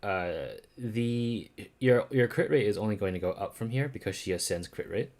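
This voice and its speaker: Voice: mid-range voice, lively intonation, normal speed, a little mellow. A game streamer chatting casually in live commentary, informal and sweary, with stop-start phrasing.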